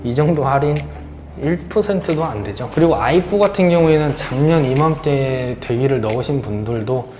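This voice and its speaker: A man working as a car dealer, giving a polite and persuasive sales pitch on promotions while speaking low because of a sore throat. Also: lively intonation, normal speed, dark tone, a little hiss or hum. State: sore throat, speaking lower than usual. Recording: roomy echo with background music.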